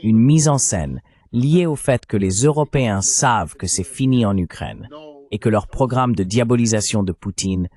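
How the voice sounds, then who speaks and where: A man's voice: fairly low pitch, animated, normal speed, warm tone. A man's AI-generated voice reading a translated political commentary in an explanatory, rhetorical manner. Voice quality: synthetic AI voice. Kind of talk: translated voice-over of a panel discussion.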